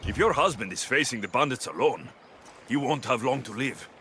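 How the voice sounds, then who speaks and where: Actor as Alexios, intense Greek mercenary, widely varied pitch. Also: male voice, animated, a little fast, a loud crowd, natural voice. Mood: stern. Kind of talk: dramatic video game cutscene acting.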